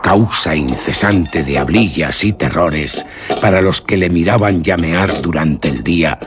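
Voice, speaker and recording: A man's voice: deep voice, unhurried, noisy background. A man narrating a gothic horror tale for radio drama in a solemn, theatrical, literary manner.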